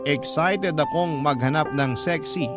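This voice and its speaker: Man, fairly high pitch, dramatic scripted narration, radio storytelling, prominent music bed. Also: a little slow. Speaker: radio host reading listener's letter. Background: loud background music.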